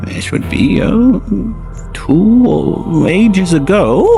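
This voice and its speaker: Ancient male tempter spirit voiced by a man, slow and savoring, swooping from high to whispered lows, faint music behind, audio drama. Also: vocal fry, full character voice. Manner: languid, savoring, mocking.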